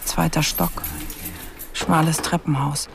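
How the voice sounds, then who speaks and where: Creaky, brooding investigator, lightly pitched. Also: male voice, level tone, takes it slowly, very noisy. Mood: pensive. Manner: terse, pensive, in clipped fragments. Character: brooding investigator narrating his case, quiet and observant. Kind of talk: radio crime drama narration.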